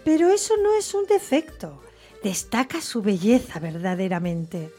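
An actress playing an observant, quietly wry narrator in a literary radio drama. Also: female voice, mid-range voice, animated, a little slow, soft background music, natural voice. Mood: wry, admiring.

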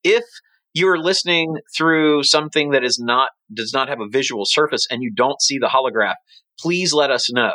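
Bright-voiced man hosting a business podcast. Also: medium pitch, moderate pace, no echo. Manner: rambling, self-correcting. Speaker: podcast co-host. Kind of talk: casual business podcast chat.

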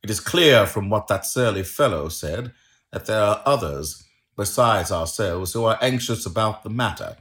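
A male narrator voicing a confident, shrewd and courteous Victorian gentleman detective, precise and assured, in a storybook reading. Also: on the low side, lively intonation, normal speed. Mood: keen, thoughtful.

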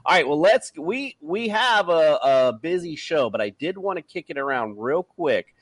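A man's voice: fairly high pitch, lively intonation, normal speed, no echo. A man hosting a casual sports podcast, upbeat, addressing each guest as "sir". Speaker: podcast host.

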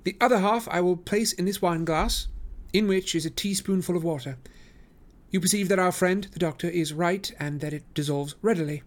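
Guy doing a super keen genius detective, voice way up high, excited and sure of himself. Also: moderate pace, lightly acted. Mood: brisk, confident. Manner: excited, exclamatory, raised high voice. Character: brilliant eager male detective, triumphant.

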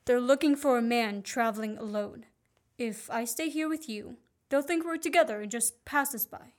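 A woman's voice: fairly high pitch, moderate pace, close to an everyday voice. A woman acting an earnest young man on the run in a radio play. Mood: relieved, charming. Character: Richard Hannay, earnest young man, innocent fugitive. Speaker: student actor.

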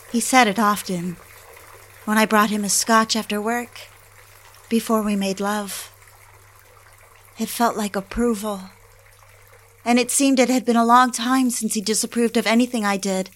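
A woman's voice: on the high side, steady tone, unhurried, pauses often, lightly acted. An actress playing a wistful, obsessive young newlywed who confides her story in genteel, literary dramatic narration. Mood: wistful, confiding. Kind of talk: first-person dramatic narration for an audio drama. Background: background music.

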